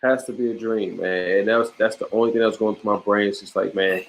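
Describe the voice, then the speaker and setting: A man talking as an interview guest in a relaxed, emphatic way, full of slang, restarts and boasts. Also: fairly low pitch, fast talker, slight background noise. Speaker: podcast host, guest on the show. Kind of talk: casual interview chat.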